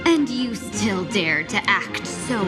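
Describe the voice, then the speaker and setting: A woman's voice: medium pitch, expressive, slow. Sly, playful fox woman. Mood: playfully boastful. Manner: playful, theatrical bluffing. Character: sly young fox woman, smooth talker. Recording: game audio with music. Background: game music.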